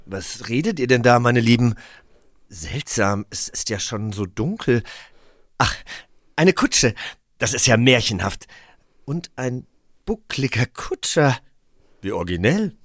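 A man's voice: mid-range voice, expressive, unhurried, long pauses, a bit bright, no echo, studio quality. A man voicing a cheerful, gushing, oblivious traveller who eagerly herds the family along in a children's radio play. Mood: delighted, marvelling. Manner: exclamatory, overeager.

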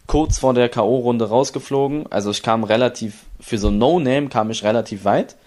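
A man's voice: medium pitch, moderate pace, a little mellow. A young man from Berlin, an esports player, tells his story in a casual interview, rambling with many restarts and acting out what others said.

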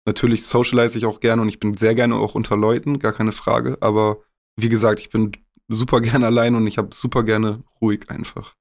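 A man's voice: lowish voice, little pitch movement, fairly quick. A young man from northwest Germany, a rapper speaking candidly and casually in a podcast interview, with some swearing and English slang.

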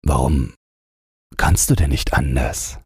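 Male reader as condescending supervisor, literary audiobook. Obliging, questioning, gravelly, raspy. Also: very deep voice, takes it slowly, no room sound, studio quality, heavily acted. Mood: patronizing, brisk. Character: male cage overseer, patronizing, humoring, puzzled.

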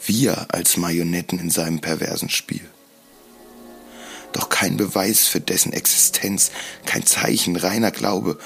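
Really low voiced guy, brooding solemn storytelling, faint music behind him. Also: fairly even intonation, unhurried, vocal fry, fairly bright tone. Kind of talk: scripted dramatic first-person narration.

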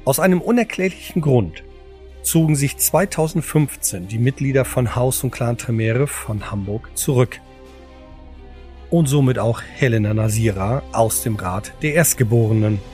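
A man's voice: medium pitch, expressive, normal speed, a little mellow. Solemn male narrator over music. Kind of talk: scripted audio drama narration.

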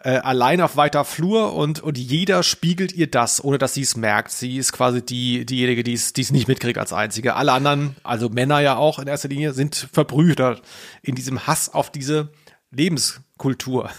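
A man's voice: mid-range voice, fairly even intonation, moderate pace. A man co-hosting a podcast, casually analysing a story in a wry, wordy and searching way. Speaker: podcast co-host. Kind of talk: casual, humorous discussion of an audio drama.

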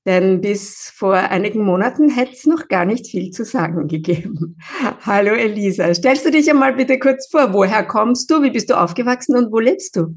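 A woman's voice: medium pitch, expressive, moderate pace, husky. A woman hosting a podcast as a linguist, asking eager questions in an interview.

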